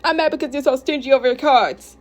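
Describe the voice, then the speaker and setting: A woman voicing a quarrelsome, quick-tempered mother in a diary story. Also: high-pitched, very animated, darkish tone, voice pushed up. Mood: furious.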